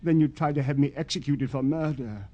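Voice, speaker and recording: An actor playing a gloating, mannered killer with florid, mock-polite speech in a 1950s radio crime drama, on an old broadcast recording. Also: male voice, on the high side, brisk, dark tone, dull audio, close to an everyday voice. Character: gloating, mannered male killer with sadistic, unstable temper.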